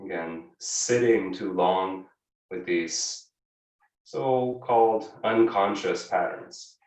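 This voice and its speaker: Slow-paced male yoga teacher, echoey room. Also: low voice. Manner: measured, gentle instructing with frequent pauses. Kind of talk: guided yoga class instruction. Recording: echoey room, distant microphone.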